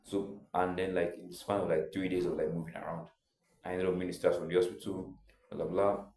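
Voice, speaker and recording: A young man tells his own story in a loose, rambling way, leaning on filler words as he goes. His voice sits very low and sounds mellow and soft-edged. The room around him adds a clear echo. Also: flat delivery, brisk. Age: young adult. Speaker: vlogger. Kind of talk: casual personal storytelling.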